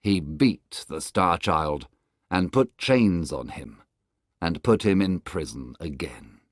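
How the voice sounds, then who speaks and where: Male storyteller, low voice, very lively intonation, dramatic fairy-tale narration. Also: slow pace, dry recording, studio quality.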